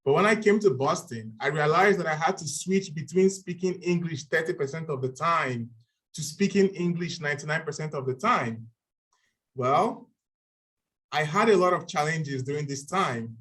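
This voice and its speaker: A man in his twenties from Nigeria speaks with a Nigerian accent, and his voice sits a little high for a man. He talks in a steady, organized way and moves between points with clear signpost words. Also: moderate pace. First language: Igbo. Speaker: panel speaker. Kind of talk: prepared talk at a club panel.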